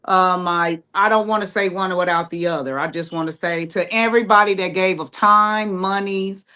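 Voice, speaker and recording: A woman guest on a podcast from Ohio, talking in a rambling, halting way with many fillers. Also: fairly low pitch, sharp tone. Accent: American English, Ohio. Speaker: guest, playwright. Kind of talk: casual interview talk.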